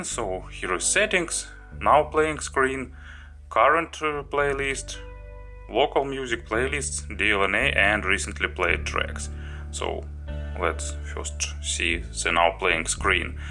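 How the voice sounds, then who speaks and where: Deep, slow-paced man reviewing a gadget. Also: lively intonation, sharp tone, faint music behind. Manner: halting, listing items one by one. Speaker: product reviewer, host. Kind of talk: unscripted product review walkthrough.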